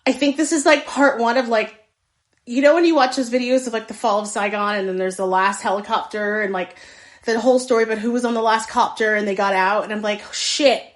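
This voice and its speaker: A woman speaks in a fairly high voice in a casual social media video. She sounds anxious and urgent, and her phrasing is loose and full of fillers. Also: steady tone, a little fast.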